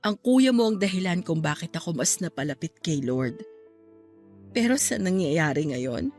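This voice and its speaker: A woman's voice: lowish voice, expressive, moderate pace, a little mellow, lightly acted. An actress playing a grieving, devout young woman in a radio-style drama, sorrowful, reflective and pleading as she questions her faith. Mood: anguished, bitter. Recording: music bed behind voice.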